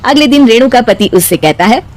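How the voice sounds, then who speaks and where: A woman's voice: fairly high pitch, lively intonation, brisk, a little mellow. A woman narrator reading a scripted domestic comic story.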